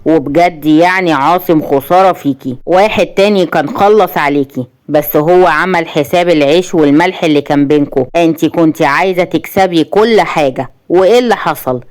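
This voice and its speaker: A woman's voice: low-pitched, fairly quick, hardly any pauses, a little mellow, lightly acted. A woman voicing a smug, scornful man with an Egyptian accent who taunts a woman with confident, mocking jabs. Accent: Egyptian Arabic.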